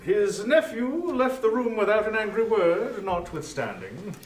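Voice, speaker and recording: A man's voice: high-pitched, sing-song, measured pace, a little hiss or hum. A man narrating a story in a scripted, dramatic style, recorded at some distance in a roomy space.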